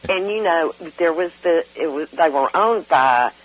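A woman's voice: sits low, expressive, a little slow, rough recording. An older woman calling in to a radio chat show, laughing often as she tells her stories. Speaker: caller.

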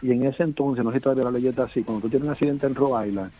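Mellow-voiced man telling a story. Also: mid-range voice, rapid-fire, faint music behind. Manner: animated storytelling. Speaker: caller.

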